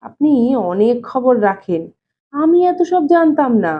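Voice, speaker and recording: A woman's voice: high-pitched, sing-song, slow, dull audio, slight background noise, exaggerated voice. A woman reading an audio story, playing a reluctant younger man who answers defensively but respectfully. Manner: evasive, protesting, deferential.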